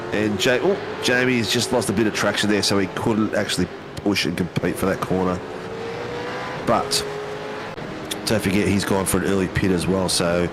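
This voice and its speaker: A man's voice: fairly low pitch, background noise. An Australian man commentating a sim race in a casual, matey way, with plenty of ums and uhs.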